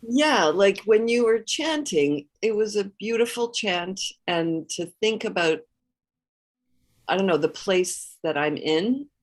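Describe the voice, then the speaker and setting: A woman's voice: mid-range voice, animated, slow pace. A woman who grew up speaking Hebrew, asking a question casually on a video call, tentative and searching for words. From Israeli background. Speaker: class participant asking a question. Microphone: video call, camera off.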